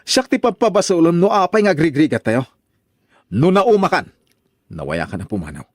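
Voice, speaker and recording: A man playing an irritable, resentful farmer husband in a radio drama, gruff and quick to blame. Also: fairly high pitch, big pitch swings, no room sound, clean studio sound. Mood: angry. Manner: gruff, blaming, argumentative. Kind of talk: Filipino radio drama acting.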